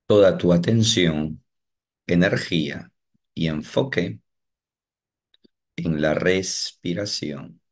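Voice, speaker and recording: A man guiding a meditation, giving slow, calm instructions with long pauses. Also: sits low. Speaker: meditation guide. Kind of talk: guided meditation.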